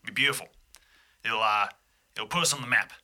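A man playing a bumbling, breezy, forgiving police chief in an improvised audio drama, talking in a halting, distracted ramble. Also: on the low side, pushed and bright. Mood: breezy, encouraging.

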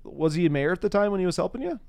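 A man's voice: high-pitched, lively intonation, fast talker, gravelly, dark tone, dry recording. An American man hosting a casual chat interview, engaged and agreeable, often repeating words as he finds his phrasing. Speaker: podcast host and interviewer.

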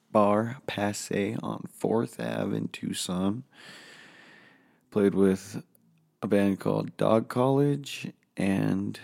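Hoarse, gravelly, slow-talking man. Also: fairly low pitch, darkish tone. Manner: rambling, self-deprecating, swears freely. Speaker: podcast host. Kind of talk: casual solo podcast monologue. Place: home office.

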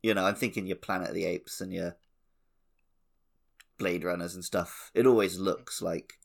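A man's voice: deep voice, fairly even intonation, moderate pace, creaky. A man co-hosting a podcast, casually discussing a video game. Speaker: podcast co-host.

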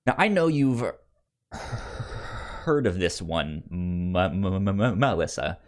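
A man hosting a podcast in casual conversation, halting and stuttering on the first sounds of words, with an enthusiastic manner. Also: low voice, lively intonation. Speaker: podcast review show host.

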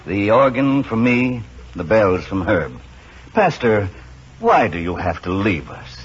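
An actor playing a plainspoken, sheepish small-town church brother in 1940s radio drama style. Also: male voice, on the low side, sing-song, slow, breathy edge, full character voice. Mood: sheepish, regretful. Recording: hissy, dull vintage radio recording.

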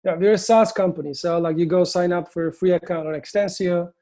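Turkish-accented male founder, mellow tone, halting speech. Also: high voice, steady tone. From Turkey. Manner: halting, many fillers and restarts. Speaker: agency founder, guest. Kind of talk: interview answers.

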